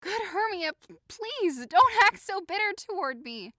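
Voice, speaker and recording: A woman's voice: extremely high pitch, big pitch swings, a little slow, bright, forceful tone, no echo, clean studio sound, heavily acted. A woman playing a timid, lovesick, easily wounded young woman, plaintive and melodramatic, in a modernized Shakespeare audio drama. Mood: pleading.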